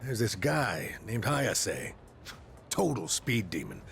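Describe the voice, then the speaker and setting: Gravelly, animated high school boy. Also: slow, soft background music, exaggerated voice. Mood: eager. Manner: casual, chatty. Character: friendly, eager high school boy on track team. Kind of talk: video game voice acting.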